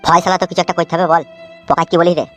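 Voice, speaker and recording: A man's voice: a little high, level tone, racing, faint music behind, natural voice. A man voicing a scheming know-it-all young boss in a comedy cartoon, explaining and persuading.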